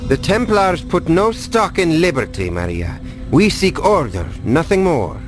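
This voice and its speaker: Smug, wildly swooping Templar villain. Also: male voice, on the high side, very animated, a little slow. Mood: smug, patronizing. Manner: theatrical, patronizing, drawling. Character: smug, condescending Templar villain, adult man. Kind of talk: video game cutscene acting. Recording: music under the voice.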